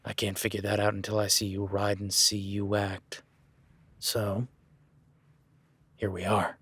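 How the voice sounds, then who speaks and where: A rugged cowboy rancher, played by a man, with a husky, breathy edge and a lowish voice. He keeps things laid-back and wry, needling a new hand in a first-person audio roleplay. The sound is dry and close, with no room around it. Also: monotone, unhurried, no echo. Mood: teasing. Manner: teasing, laid-back, talks softly to his horse.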